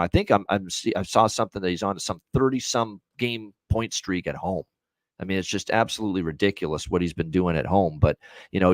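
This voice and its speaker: A man's voice: deep voice, dry recording. A man hosting a sports betting show, chatting casually and rambling through his picks.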